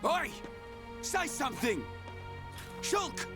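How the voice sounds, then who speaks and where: A brash young British brawler from a video game, is combative and loud. His high voice jumps around excitedly over prominent music. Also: very slow, full character voice. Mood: angry. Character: brash, hot-headed young male fighter, loyal sidekick.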